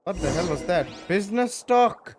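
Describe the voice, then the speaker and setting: A man's voice: high-pitched, animated, moderate pace, creaky, music in the background. A man giving casual gameplay commentary, reacting to the dialogue of a game.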